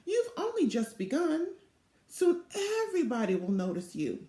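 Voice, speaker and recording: A woman's voice: sits high, very animated, moderate pace, dark tone. A woman voicing a cooing mother who reassures her little girl in a storybook. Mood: encouraging. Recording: echoey room sound.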